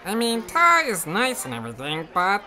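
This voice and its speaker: A woman's voice: mid-range voice, huge pitch swings, normal speed, sharp tone, faint music behind. A woman voicing a gossipy, antsy elf with emphatic, insinuating delivery in a Christmas storybook.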